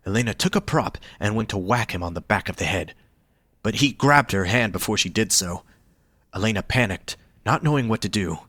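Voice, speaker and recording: A man narrates a scripted story for an audio drama. His voice is on the low side, and the sound is dry with very little room echo. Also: expressive, brisk, pushed and bright.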